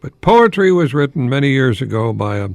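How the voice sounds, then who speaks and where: A man's voice: mid-range voice, big pitch swings, brisk, vocal fry, darkish tone, no room sound, studio quality. An older American man chatting like a professor on a radio talk, posing rhetorical questions as he goes. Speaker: radio host, retired college professor. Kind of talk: informal radio talk with verse recitation.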